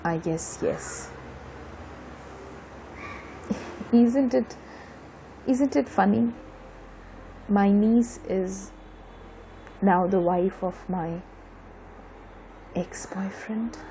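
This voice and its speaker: A woman's voice: medium pitch, very slow, long pauses, dark tone, a little hiss or hum, low-quality recording. A woman with an Indian Bengali accent playing a wistful, subdued young mother in an audio drama, giving short, hesitant replies. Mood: wry, wistful. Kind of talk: bilingual audio drama dialogue.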